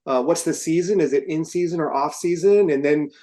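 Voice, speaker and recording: A man's voice: a little high, a little fast, warm tone. A man co-hosting an instructional podcast, explaining methodically and step by step in an informal way. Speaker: podcast co-host, firefighter and trainer.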